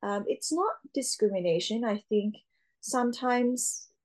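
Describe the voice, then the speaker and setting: A young woman actor answering interview questions, often pausing with fillers and restarting her sentences. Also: fairly high pitch. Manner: hesitant, many fillers and restarts. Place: Australia.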